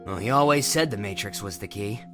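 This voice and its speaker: A man's voice: on the low side, fairly quick, music in the background, natural voice. A man playing a fervent robot believer in an audio drama, intense and reverent. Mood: insistent.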